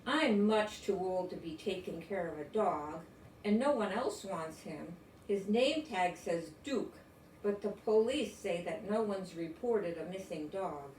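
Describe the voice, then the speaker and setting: A woman's voice: on the low side, animated, a little slow, darkish tone. A weary, dismissive old man from a storybook, acted out by a woman. He grumbles emphatically in a folksy manner. The sound is roomy and a little distant, with faint noise behind. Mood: grumbling complaint.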